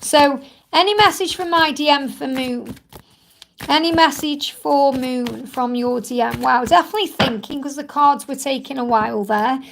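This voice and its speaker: Croaky high-voiced woman reading cards. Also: normal speed, fairly bright tone, a little hiss or hum. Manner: soothing and reassuring, repeats phrases often. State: croaky, tired voice from much talking. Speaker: tarot card reader and live-stream host. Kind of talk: live-stream Q&A card reading.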